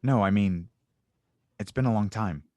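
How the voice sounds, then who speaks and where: A man playing a genial, wistful older inventor in an audio drama table read, speaking in a conversational, unhurried way. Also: lowish voice, dark tone, natural voice. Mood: friendly, wistful.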